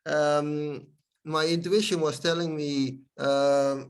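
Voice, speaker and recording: Dutch-accented male guest, level monotone, earnest and hesitant, often restarting his sentences. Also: a little high, slow pace, clean tone. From Suriname, raised in the Netherlands. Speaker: guest, scientist and yoga teacher. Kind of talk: interview answers.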